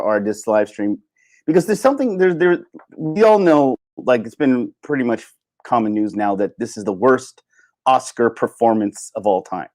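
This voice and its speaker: A man hosting a solo livestream in casual chat, rambling and halting as he thinks aloud. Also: mid-range voice, a little mellow. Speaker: livestream host.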